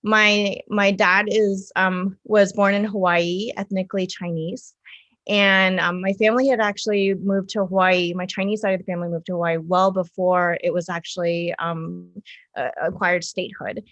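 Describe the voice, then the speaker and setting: A woman from the United States answering interview questions conversationally, reflective and halting as she pieces her thoughts together in short phrases. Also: mid-range voice, little pitch movement, a bit bright, dull audio. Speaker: interview guest, city councilwoman.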